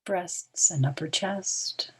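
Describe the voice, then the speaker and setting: Slow, low-voiced woman guiding meditation. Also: slightly rough, low-quality recording. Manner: soothing, hushed, phrases broken by long pauses. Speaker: meditation guide. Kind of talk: guided relaxation meditation.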